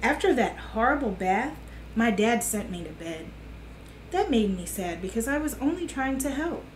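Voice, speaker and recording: A woman's voice: on the high side, sing-song, moderate pace. Woman storyteller in an echoey room. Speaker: children's storytime host. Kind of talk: reading a picture book aloud to children. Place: small living room on a sofa, indoors. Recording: echoey room with faint hiss.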